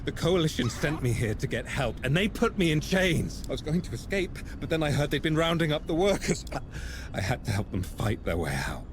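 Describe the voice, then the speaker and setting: A man's voice: a little high, sing-song, brisk. A man playing a defiant, battle-worn soldier who is wry and loyal, in a cinematic video game. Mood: weary, urgent. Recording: loud game noise behind voice.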